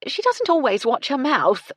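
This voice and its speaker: A woman voicing an excitable, motherly female housekeeper who frets, scolds and exclaims in a folksy, deferential way. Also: high voice, moderate pace, breathy edge, dry recording, studio quality, pitched above the performer's own voice, exaggerated voice. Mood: worried, fretful.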